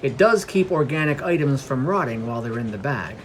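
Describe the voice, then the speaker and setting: A man's voice: mid-range voice, animated, fairly quick, a little hiss or hum, lightly acted. Man reading as a blunt, serious guard captain. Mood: explaining, dry. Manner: blunt, matter-of-fact. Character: serious, businesslike forty-year-old human guard captain. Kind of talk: fantasy story dialogue.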